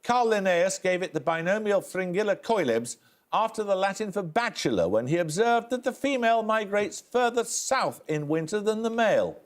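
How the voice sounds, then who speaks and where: A man hosting a quiz show, reading questions crisply and briskly in a studio. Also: high-pitched, sing-song. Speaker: quizmaster. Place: television studio.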